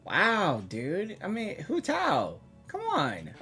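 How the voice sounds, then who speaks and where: A woman's voice: mid-range voice, wildly swooping pitch, slow pace, creaky, sharp tone. A woman giving spontaneous reaction commentary on a game, exclaiming and repeating her words. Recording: game music behind the voice.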